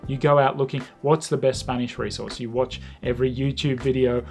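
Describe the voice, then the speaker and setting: A man's voice: medium pitch, little pitch movement, brisk, over music. A man talking casually to camera about language learning, reflective and self-deprecating, with many "like"s.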